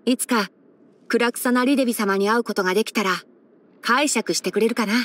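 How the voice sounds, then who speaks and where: A woman's voice: fairly high pitch, fairly quick, close to an everyday voice. A woman voicing a young male fox-eared ranger in a video game, scholarly and sensible, speaking in a composed, articulate, mildly wry way. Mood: reflective. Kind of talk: scripted video game character voice-over.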